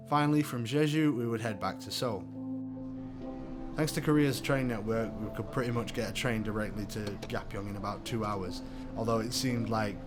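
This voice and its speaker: A man narrating a travel video in a scripted voice-over, with dry, wry humor. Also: medium pitch.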